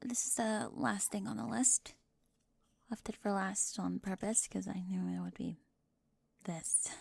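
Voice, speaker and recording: A woman playing a bossy, high-strung, organised teenage girl in an ASMR roleplay, fussy and emphatic, often dropping into breathy whispers. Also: steady tone, unhurried, a little mellow, exaggerated voice. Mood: brisk, flustered. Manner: fussy, emphatic, flustered, clipped.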